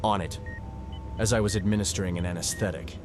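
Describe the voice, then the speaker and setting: A man's voice: sits low, fairly even intonation, quick pace, background noise, natural voice. A man playing a shaken young medical student, narrating and acting in a horror audio drama in an earnest, somber way. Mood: focused. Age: young adult.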